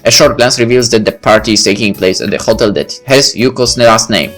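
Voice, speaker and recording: A man's voice: mid-range voice, a little fast, fairly bright tone. A man reading a first-person story aloud as narration, with the occasional stumble. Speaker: video creator reading a visual novel aloud. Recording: faint music behind the voice. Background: faint music.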